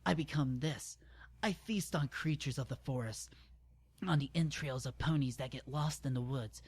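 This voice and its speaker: A man's voice: on the high side, breathy edge, voice pushed up, heavily acted. A man voicing a smug, predatory female monster pony with mocking menace in an amateur dramatic reading. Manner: mocking, gloating, drawn-out menace.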